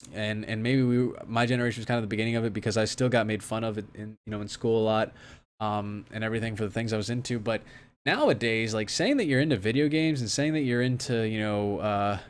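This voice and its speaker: A young man hosting a fitness livestream, chatting casually with viewers, rambling with many restarts and fillers, and turning emphatic when setting a challenge. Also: medium pitch. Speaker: fitness streamer host.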